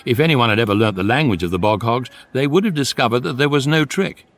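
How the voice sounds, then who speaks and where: A wry British narrator played by a male actor tells a comic science-fiction radio story. His mid-pitched voice moves with lively intonation, measured and drily deadpan. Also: lightly acted.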